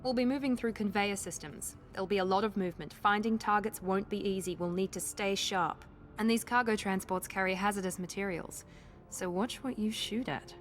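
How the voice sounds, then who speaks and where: A woman's voice: mid-range voice, fairly quick, faint music behind, lightly acted. An actress voicing a proud, guarded woman who is fiercely protective of her sister, speaking with controlled intensity in a video game. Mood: focused, businesslike.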